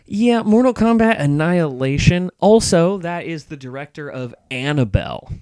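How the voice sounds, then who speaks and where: Male podcast co-host, smooth clean voice, casual and opinionated, close dry sound. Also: on the high side, expressive, moderate pace, a little mellow, no echo. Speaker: podcast co-host and film reviewer. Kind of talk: casual podcast chat about movies.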